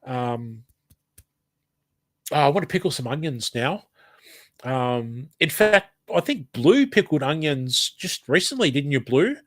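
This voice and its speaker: A man's voice: medium pitch, lively intonation, measured pace, pauses often, dry recording. An Australian man with hay fever and a blocked nose, casually reading a live stream chat aloud, hesitant and apologetic, with lots of ums.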